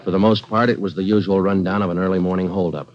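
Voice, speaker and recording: A man's voice: deep voice, steady tone, fast talker, close to an everyday voice. A man playing a terse police detective sergeant who also narrates, speaking in a clipped, matter-of-fact way in 1940s radio drama style. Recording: dull old radio recording with music.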